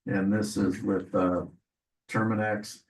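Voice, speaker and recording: A man losing his voice, presenting agenda items at a public meeting in a businesslike way, clearing his throat often. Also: on the low side, little pitch movement, slow pace. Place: county meeting room. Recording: echoey meeting room, distant mic.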